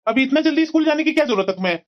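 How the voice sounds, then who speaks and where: A man's voice: very high voice, expressive, rapid-fire, muffled recording. A man playing an evasive husband and doting uncle in a moral-story cartoon, irritable at home. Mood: irritated.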